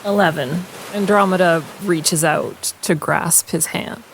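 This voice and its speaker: Give me a woman at a tabletop game describing what her character does. She is matter-of-fact and a bit slow, with a clear hiss on the recording. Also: fairly low pitch, low-quality recording. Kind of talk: narrating a character's actions in third person.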